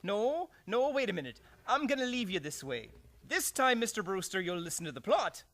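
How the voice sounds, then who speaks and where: A man's voice: high-pitched, sing-song, normal speed, fairly bright tone, exaggerated voice. An actor playing an eager, dim policeman who fancies himself a playwright, gushing colloquially in radio comedy style. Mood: eager, chatty. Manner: gushing, colloquial tough-cop diction. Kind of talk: live old-time radio comedy acting.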